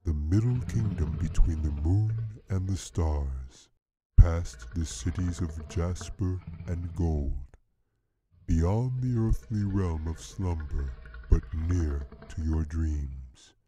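A deep rumbling bear king. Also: mellow, a little hiss or hum. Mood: grave. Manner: slow, grave, stern. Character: huge solemn male bear king.

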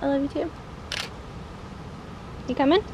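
A woman's voice: high-pitched, a little slow, lo-fi sound. A woman in her thirties chatting casually to camera and to her small child as she vlogs outdoors. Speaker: vlogger mother hiking with her young daughter. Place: outdoors on a wooded rocky trail. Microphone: handheld camera at arm's length. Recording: noisy outdoor handheld camera audio. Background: outdoor ambient noise.

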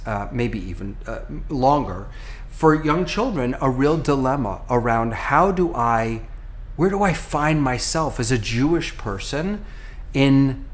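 A middle-aged American man, a rabbi, hosting a relaxed podcast conversation over a video call from his home office, talking in an animated way with frequent restarts. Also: medium pitch. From United States.

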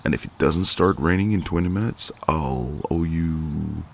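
A man playing a flirty, cocky but gentle ex-marine neighbour in an intimate ASMR roleplay, teasing in a folksy way. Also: extremely low pitch, a little slow, creaky, slight background noise, heavily acted.

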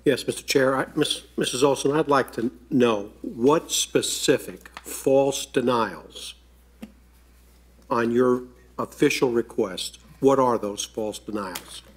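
A man on a town board, asking formal, deliberate questions through the chair. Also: medium pitch, slightly rough. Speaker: board member.

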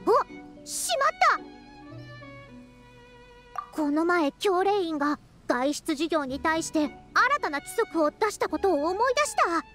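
A woman's voice: very high-pitched, lively intonation, slow, long pauses, a bit bright, soft background music, full character voice. A woman voicing a proud, youthful-sounding scholar in a Japanese video game, talking in a self-important yet caring way with old-fashioned elder's phrasing. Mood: flustered, grumbling. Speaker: scholar and teacher. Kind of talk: Japanese anime-style video game voice acting.

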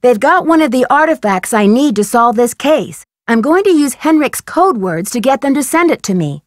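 A young American girl detective in a video game. She is practical and determined, with a fairly high voice. The sound is close and dry. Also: sing-song, moderate pace, no room sound. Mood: resolved.

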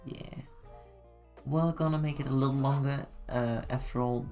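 A young woman with a Dutch accent streaming from her bedroom, thinking aloud in halting speech full of fillers as she works on a sewing pattern. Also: rumbling low, fairly even intonation, dark tone, faint music behind. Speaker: cosplay streamer. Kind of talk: casual livestream chat while pattern making. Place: small bedroom at home.

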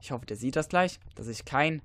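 A teenage boy with a blocked, stuffy nose from a cold talks through a video game at a middle pitch. He rambles loosely, fills his gaps with "uh", and now and then jumps into excited yelps. The sound is dry and close to the microphone, with no room around it. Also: moderate pace, dry recording. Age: teens. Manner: rambling, many fillers, sudden excited outbursts. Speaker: let's player. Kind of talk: casual gameplay commentary.

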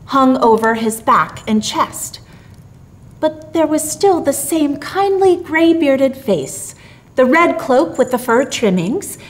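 A middle-aged woman narrates a storybook with theatrical flair. Her voice sits a little high and swoops widely in pitch, leaning on key words with drawn-out emphasis. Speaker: storyteller reading a Christmas story aloud. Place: small dark theatre stage, indoors.

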